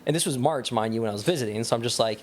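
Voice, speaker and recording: A man's voice: mid-range voice, racing. A young American man chatting casually as an interview guest, rambling through stories with lots of "like" and "you know". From Wyoming, United States. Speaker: podcast guest, startup founder. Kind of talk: casual interview chat, storytelling.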